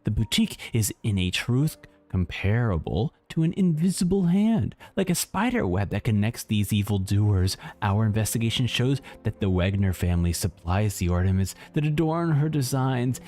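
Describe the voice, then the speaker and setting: A man's voice: mid-range voice, moderate pace, few pauses. A man streaming a game, reading its text aloud theatrically and adding casual commentary. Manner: animated, theatrical reader.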